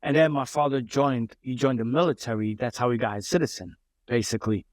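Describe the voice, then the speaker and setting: A man's voice: medium pitch, brisk, no room sound. Dry-voiced New man telling his story. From Brooklyn, New York. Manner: repeats words and restarts often. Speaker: guest. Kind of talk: casual interview storytelling.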